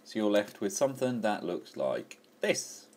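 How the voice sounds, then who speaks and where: A man's voice: on the low side, very animated, unhurried, a little hiss or hum. Enthusiastic man reviewing a toy. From England. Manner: enthusiastic, chatty, with frequent fillers and restarts. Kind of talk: casual toy review walkthrough.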